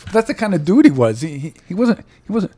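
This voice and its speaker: Podcast co-host, male, warm mellow tone, animated storyteller who laughs a lot. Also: high voice, quick pace. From United States. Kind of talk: casual chat.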